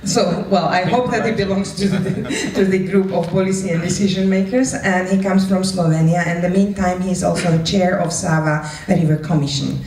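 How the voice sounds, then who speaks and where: A woman's voice: lowish voice, steady tone, normal speed, few pauses, darkish tone. A non-native English-speaking woman moderating a live event and introducing speakers. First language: not English. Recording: echoey room, distant mic, background noise.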